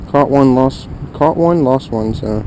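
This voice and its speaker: Male outdoor fishing vlogger, informal and excitable, mid-pitched voice, action-camera sound with clear wind noise. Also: measured pace. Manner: informal, slangy, excitable at catches. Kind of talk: casual outdoor fishing commentary, talking to himself and camera. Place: outdoors on a frozen pond. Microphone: action camera worn on body. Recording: windy, noisy action-camera audio.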